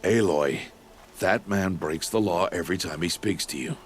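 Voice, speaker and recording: An actor playing a stern middle-aged male outcast mentor in a video game, speaking in a deep, grave, measured and terse way. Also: a little hiss or hum, natural voice. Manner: solemn and terse. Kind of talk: video game cutscene acting.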